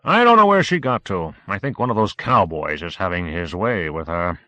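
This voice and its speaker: A man's voice: low voice, lively intonation, normal speed, no room sound. A man voicing a rotund, tipsy middle-aged man at a party, crude and bitter, swearing freely. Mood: jovial. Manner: loud, swearing, mocking.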